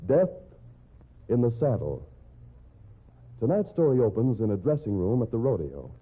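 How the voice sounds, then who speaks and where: Animated old-time radio announcer, a man, tinny sound. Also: mid-range voice, normal speed, slightly rough. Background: faint music.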